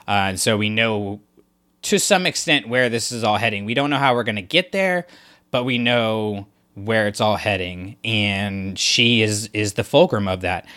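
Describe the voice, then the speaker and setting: A man's voice: fairly low pitch, sharp tone. A man co-hosting a casual discussion podcast, talking things through in a thoughtful, analytical way with frequent restarts. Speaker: podcast co-host.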